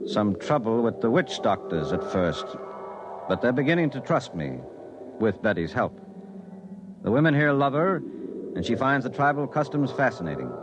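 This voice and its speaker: Earnest, insistent professional man played by a male actor, lively pitch, vintage radio drama delivery. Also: moderate pace, noisy background, close to an everyday voice. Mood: pleased, optimistic. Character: determined man quitting his job for a new career. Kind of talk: 1950s radio drama acting.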